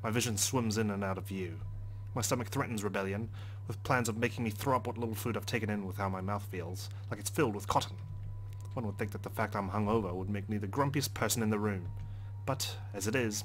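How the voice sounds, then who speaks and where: A man's voice: on the low side, rough recording. A man reading visual novel narration aloud as a let's player. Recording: clear background noise and hum.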